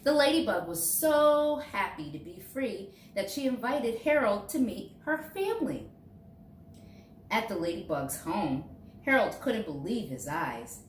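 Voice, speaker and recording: A woman reads a picture book aloud to young children in an expressive way, dramatizing key words. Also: mid-range voice, unhurried. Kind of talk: storybook read-aloud. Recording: roomy echo, distant mic, faint noise.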